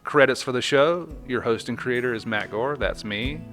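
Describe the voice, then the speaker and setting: A man's voice: mid-range voice, normal speed, a bit bright, soft background music. A man hosting a podcast, talking in a chatty, informal way. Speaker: podcast host. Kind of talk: casual podcast sign-off.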